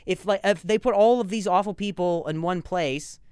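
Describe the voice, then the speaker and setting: A man's voice: high-pitched, moderate pace, a little mellow, clean tone, no room sound, studio quality. A man co-hosting a casual chat, rambling with many restarts and laughing easily. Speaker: podcast co-host.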